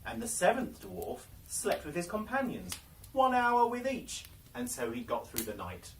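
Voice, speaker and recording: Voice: mid-range voice, very animated, a little slow, distant mic, a little hiss or hum, rough recording. A storyteller narrating a fairy tale in a dramatic, theatrical storybook style.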